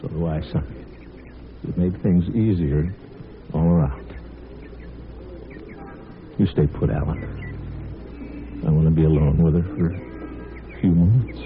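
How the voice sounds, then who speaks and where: Low-voiced radio father. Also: takes it slowly, long pauses. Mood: somber. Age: middle-aged. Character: subdued middle-aged father, awkward and evasive. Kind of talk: 1950s radio drama acting. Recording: tinny, noisy vintage radio recording.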